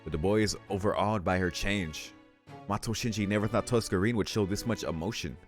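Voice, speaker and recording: A male let's-play host reads visual novel narration aloud. His voice is quite low, and soft music plays behind it. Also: fairly quick.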